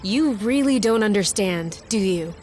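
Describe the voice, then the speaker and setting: A woman playing a feisty, defiant and anxious young woman in a video game, with a blunt, sarcastic, expressive delivery. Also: medium pitch, a little slow, soft background music, lightly acted. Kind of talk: video game cutscene acting.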